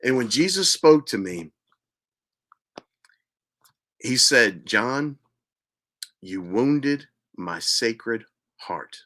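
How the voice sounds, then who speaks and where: A man earnestly telling a personal spiritual story as host, repeating himself for emphasis and sometimes dropping to a hushed voice. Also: mid-range voice, very animated, extremely slow, pauses often. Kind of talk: personal testimony monologue.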